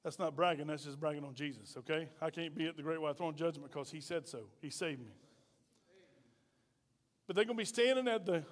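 A middle-aged man preaching a sermon as pastor, emphatic and folksy, with repeated rhetorical questions. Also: high voice. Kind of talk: sermon to a congregation. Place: church sanctuary. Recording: reverberant hall sound.

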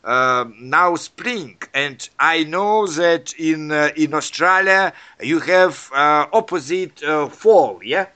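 Hoarse, hesitant man with a bright voice. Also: on the high side, slow pace, few pauses. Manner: hesitant, with many fillers and restarts, as a non-native speaker. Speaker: conversation partner. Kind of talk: casual chat.

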